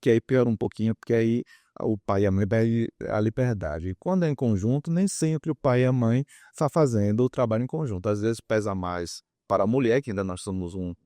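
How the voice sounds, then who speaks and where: A man's voice: on the low side, fairly quick, dark tone, dry recording, studio quality. A man, explaining his opinions in an interview with examples and repeated words for emphasis. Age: 50s. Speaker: guest psychologist and author.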